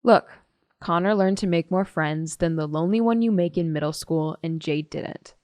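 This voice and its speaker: A woman's voice: lowish voice, normal speed, a little mellow, no room sound, lightly acted. A woman acting a blunt, dismissive, jaded teenage girl testifying in an audio drama. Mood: blunt, scornful. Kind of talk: audio drama monologue, police interview testimony.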